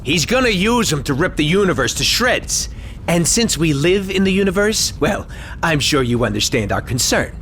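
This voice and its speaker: An actor playing a wisecracking, irreverent space adventurer in a video game, with a sarcastic, flippant manner. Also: male voice, fairly high pitch, very animated, moderate pace. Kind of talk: video game cutscene acting. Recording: loud noise behind.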